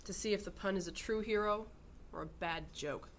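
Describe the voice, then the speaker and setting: A woman acts out a skeptical lady police lieutenant in a radio play. Her tone is sharp and scornful, over audible background noise. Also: mid-range voice, normal speed, close to an everyday voice. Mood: suspicious. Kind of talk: radio drama acting. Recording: clear background noise and ambience.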